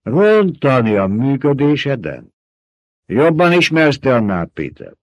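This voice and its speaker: A man voicing a scheming, gluttonous older baron in an audiobook, mocking and theatrical, with booming emphasis, in a dry, close recording. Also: very animated, no echo, natural voice. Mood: lecturing. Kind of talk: audiobook character voice.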